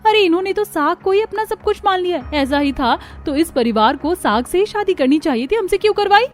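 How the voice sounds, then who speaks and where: A woman's voice: very high-pitched, lively intonation, a little fast, voice pushed up. A woman voicing a weary, whiny young daughter-in-law who grumbles in a cartoon story. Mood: indignant. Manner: whiny, complaining, exasperated. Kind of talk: Hindi cartoon story dialogue.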